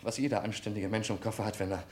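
An actor playing a worn-down, defiant suspect in German radio crime drama, exasperated and protesting. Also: male voice, lowish voice, steady tone, quick pace, a little mellow, lightly acted. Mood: weary, exasperated.

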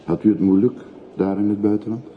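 An actor playing an earnest railway porter in a radio play, formal and deferential yet full of probing questions. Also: male voice, lowish voice, fairly quick, natural voice. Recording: muffled phone-like old radio recording, faint hiss.

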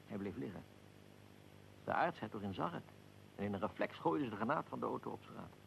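An actor playing a dignified archduke in a radio drama, recounting events in a measured, formal, deliberate way. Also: male voice, fairly low pitch, expressive, creaky, a little hiss or hum, rough recording. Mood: grave recounting. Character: archduke, dignified aristocrat recounting his own assassination. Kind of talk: radio drama, recollection in interview form.

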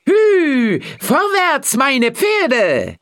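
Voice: high-pitched, huge pitch swings, drawn-out words, a bit bright, exaggerated voice. An actor playing a small, poor, chatty peasant man in a fairy tale, eager and easily cowed. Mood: exuberant. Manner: exclaiming, overeager, submissive when scolded. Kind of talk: acted fairy-tale audio story dialogue.

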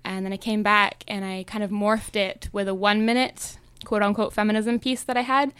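A young woman slam poet chatting in an interview, talking with frequent ums and quick asides. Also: mid-range voice, flat delivery, fairly bright tone, clean tone, dry recording. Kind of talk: casual interview chat.

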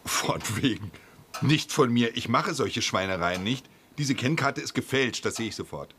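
An actor playing an officious, self-righteous office bureaucrat in a radio drama, pedantic, formal and stern. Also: male voice, mid-range voice, expressive, normal speed, bright, forceful tone, a little hiss or hum. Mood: indignant. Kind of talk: German radio drama acting.

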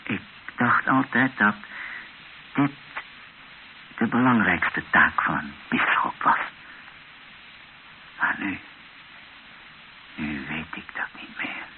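Deep-voiced, weary missionary bishop. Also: male voice, animated, heavily acted. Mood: earnest, self-critical. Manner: reflective, grave, rhetorical, with deliberate pauses. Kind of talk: radio drama acting. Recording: hissy, dull old radio recording.

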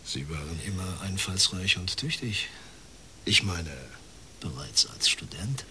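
Slow, low-voiced courteous priest. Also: male voice, big pitch swings, bright, forceful tone, a little hiss or hum, full character voice. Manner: slow, deliberate, formal. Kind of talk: radio crime drama acting.

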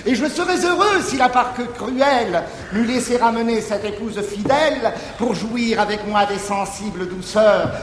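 A man playing a dignified older gentleman. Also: high voice, moderate pace, few pauses, natural voice. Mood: solemn. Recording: reverberant 1959 live theatre recording, faint hiss.

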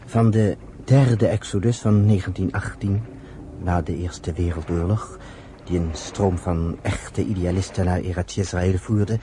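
Earnest patient storyteller, low mellow voice, measured phrasing, radio play, faint background noise. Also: male voice, steady tone. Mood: solemn, impassioned. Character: earnest, patient male storyteller explaining his people's history. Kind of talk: radio drama acting. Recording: slightly noisy old radio recording.

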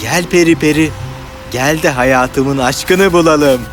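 A man's voice: a little high, takes it slowly. A man voicing an earnest, scatterbrained, melodramatic poet in a cartoon fairy tale. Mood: determined. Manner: melodramatic, pleading. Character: earnest, dreamy, scatterbrained lovesick male poet. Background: music.

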